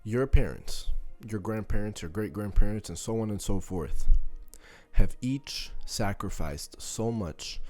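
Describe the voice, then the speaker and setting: Deep-voiced young man giving a motivational talk. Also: lively intonation, a little slow, warm tone, soft background music. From born in Serbia, moved to the US. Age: late teens. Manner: emphatic, repetitive rhetorical questioning. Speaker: motivational content creator. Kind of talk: motivational speech.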